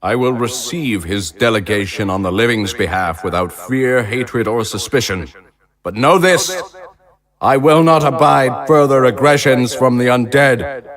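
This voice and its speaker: An actor playing a proud, militaristic consul, delivering theatrical political oratory in a declamatory, stately way. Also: male voice, medium pitch, measured pace, a bit bright, close to an everyday voice. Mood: defiant, resolute. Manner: declamatory, rhetorical, stately emphasis. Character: proud, militaristic male consul rallying his troops, grandiose and defiant.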